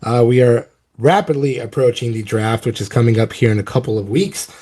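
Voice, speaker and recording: A man's voice: medium pitch, brisk, warm tone. A man with a cold, his voice a little off, hosting a casual sports podcast and rambling with many ums and restarts. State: has a cold, voice a bit off. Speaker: podcast host.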